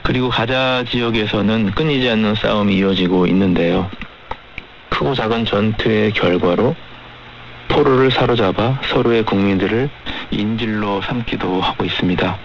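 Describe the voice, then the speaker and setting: Low, gravelly man on shortwave radio. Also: moderate pace. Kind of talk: measured radio discussion. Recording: faintly noisy shortwave radio broadcast.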